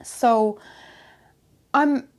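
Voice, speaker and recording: A woman with a Finnish accent chats casually to her viewers. Her voice sits a little high, and she speaks very slowly and hesitantly, pausing often as she searches for the next words. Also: a little mellow. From Finland. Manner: hesitant, halting with many pauses. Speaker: knitwear designer and vlog host.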